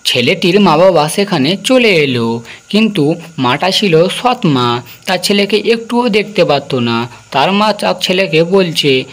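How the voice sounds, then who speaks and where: A storyteller narrates a scripted folk tale in a medium-pitched voice. The delivery is that of a cartoon story narrator. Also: lively intonation, a little slow, fairly bright tone.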